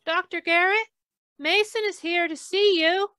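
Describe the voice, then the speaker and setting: A young hospital switchboard girl, played by a woman, polite and efficient in old-time radio style. Her voice is extremely high and bright, recorded close and dry. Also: steady tone, unhurried, no echo, full character voice. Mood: polite, businesslike.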